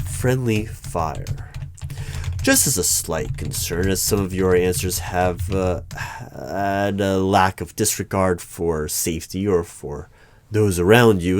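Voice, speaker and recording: Man playing a deep, unhurried deadpan hiring manager. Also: full character voice. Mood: hesitant, wary. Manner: hesitant, deadpan, measured. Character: wary, polite hiring manager of a secret bureau interviewing a dragon. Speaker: roleplay performer. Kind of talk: one-sided ASMR interview roleplay. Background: computer keyboard typing and clicks.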